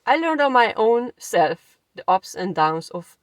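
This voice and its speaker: A woman's voice: a little high, very animated, a little slow, no echo. A woman in her thirties from Transylvania with a Hungarian accent, a language coach earnestly telling her own story to camera with fillers and self-corrections. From Transylvania, Romania.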